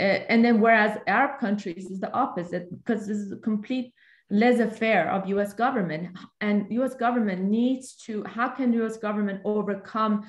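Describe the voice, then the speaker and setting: Woman from Iran, earnest and rambling participant, roomy echoey video-call sound. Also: mid-range voice. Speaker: seminar participant asking a question. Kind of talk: asking a long question on a video call.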